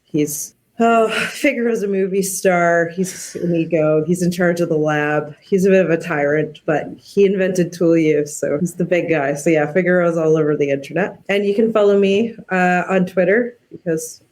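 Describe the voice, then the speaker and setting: A woman's voice: lowish voice, normal speed, darkish tone. A woman speaking as a researcher on a panel, telling stories in an informal, chatty, conversational way. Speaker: cockatoo researcher, panel guest. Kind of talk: casual conversational panel talk.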